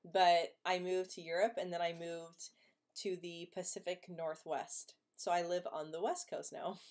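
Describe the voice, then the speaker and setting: Woman with a stutter doing a live q&a, lots of repeats and blocks, lowish voice. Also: flat delivery, a little slow, a little mellow. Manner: stutters with repetitions and blocks, laughs often. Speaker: livestream host answering viewer questions. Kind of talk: casual live chat reading comments. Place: home, live stream.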